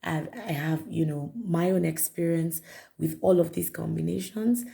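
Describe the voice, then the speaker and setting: A woman reviewing perfumes in a chatty vlog, her voice raspy and hoarse from a cold. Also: fairly low pitch, measured pace, mellow. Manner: chatty, repeats herself, many fillers. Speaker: perfume vlogger. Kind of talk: casual product review to camera.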